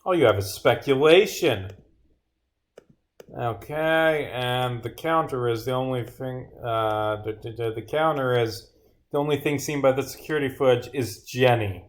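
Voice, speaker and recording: A man's voice: mid-range voice, measured pace. Man reading his game script on stream. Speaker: streamer and visual novel developer. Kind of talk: livestream of reading own game script aloud with commentary.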